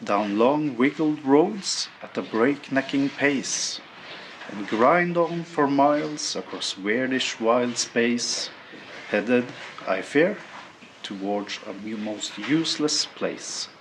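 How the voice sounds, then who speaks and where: A man's voice: mid-range voice, lively intonation, takes it slowly, rough recording. A man, a teacher, reading a children's rhyming book aloud over faint background noise.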